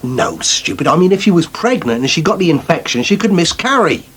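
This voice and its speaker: Radio soap countryman: dry, sceptical, fairly high voice with lively inflection. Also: fairly quick, slightly rough, exaggerated voice. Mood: concerned. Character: dry, wary working countryman studying at agricultural college. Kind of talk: British radio soap acting.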